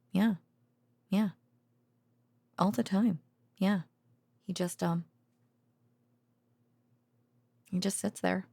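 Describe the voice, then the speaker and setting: A woman playing a gentle researcher girlfriend in an ASMR bedtime roleplay, murmuring haltingly in a soft, breathy voice that often drops to a whisper. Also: fairly low pitch, no room sound, heavily acted. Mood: soft, sympathetic. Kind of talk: intimate ASMR audio roleplay, conversational bedtime monologue.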